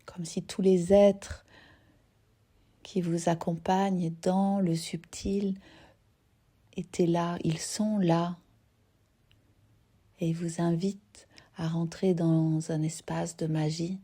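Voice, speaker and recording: A woman teaching yoga nidra, guiding a relaxation gently and unhurriedly in short phrases with soft, breathy endings. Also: lowish voice, level tone, takes it slowly, pauses often. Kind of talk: guided relaxation meditation.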